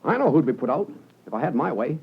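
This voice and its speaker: Irascible law clerk, high swooping voice, snappish, blustering, vintage radio drama. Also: male voice, sing-song, fast talker, husky, heavily acted. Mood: irritated. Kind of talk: 1950s radio drama acting. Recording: muffled 1950s radio broadcast.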